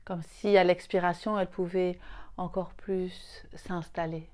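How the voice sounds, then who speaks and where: Female meditation guide, low-leaning dark soft tone, soothing, very slow delivery in short phrases. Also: little pitch movement. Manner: soothing, unhurried, with long pauses between short phrases. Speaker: yoga nidra guide.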